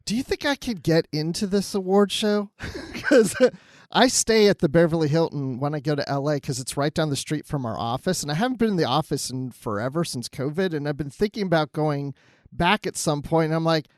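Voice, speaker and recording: A man's voice: on the high side, lively intonation, brisk, no room sound, studio quality. A man co-hosting a fan podcast in casual, conversational chat. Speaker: podcast co-host.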